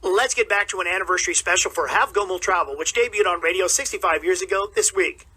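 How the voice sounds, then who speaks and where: Male radio presenter, very bright forceful tone, husky, fast upbeat delivery. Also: high-pitched. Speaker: classic radio channel host.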